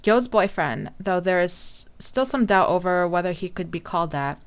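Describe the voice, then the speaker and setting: A woman's voice: on the low side, lively intonation, moderate pace, clear, resonant tone. A woman hosting a let's play, reading a visual novel aloud informally, with some stumbles and game music behind her.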